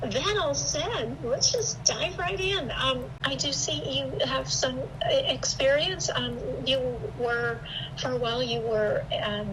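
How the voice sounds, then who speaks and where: A woman interviewing conversationally over the phone, upbeat and encouraging, a little halting. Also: sits high. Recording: noisy phone call on speaker.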